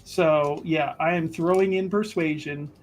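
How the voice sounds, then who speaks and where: A man's voice: fairly high pitch, fairly even intonation, unhurried. A man chatting casually as a player at a tabletop roleplaying game.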